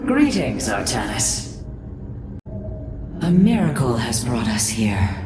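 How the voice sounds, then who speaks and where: An actress voicing a stately, mysterious alien matriarch in a video game, with formal, deliberate speech. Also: female voice, rumbling low, big pitch swings, over loud music, exaggerated voice. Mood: solemn. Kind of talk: dramatic video game voice acting.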